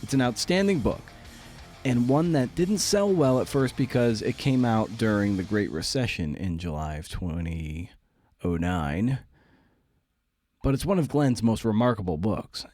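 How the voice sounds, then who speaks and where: A man's voice: on the low side, animated, a little slow, darkish tone, no echo, faint music behind. A man hosting a podcast, talking casually and colloquially with playful asides as he introduces and interviews.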